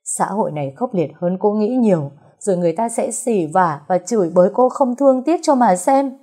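Husky middle-aged mother acted by a female narrator, furious, accusatory, unforgiving, mellow timbre, audio storybook. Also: lowish voice, lively intonation, unhurried. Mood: furious rejection. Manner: scolding, accusatory outbursts.

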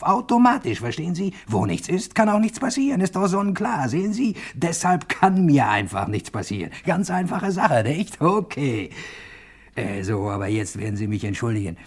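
Husky, creaky-voiced cocky amateur sleuth. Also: male voice, fairly high pitch, full character voice. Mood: conspiratorial. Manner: conversational, casually boastful. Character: cocky, chatty male amateur sleuth confiding in the listener. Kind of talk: German radio crime play narration.

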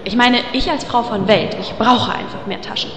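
A woman's voice: on the high side, moderate pace, reverberant, long reverb tail, crowd noise, close to an everyday voice. An actress playing a vain, bossy young female elf healer. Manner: bossy, indignant.

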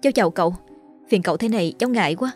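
A woman voicing a frightened young mother, with a high, quavering and pleading voice. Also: very fast, faint music behind, close to an everyday voice. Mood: polite.

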